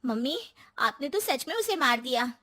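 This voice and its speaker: A woman playing an anxious, nagging younger woman of the household in an animated story, pleading and exasperated. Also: high-pitched, moderate pace, exaggerated voice. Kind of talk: Hindi animated story dialogue.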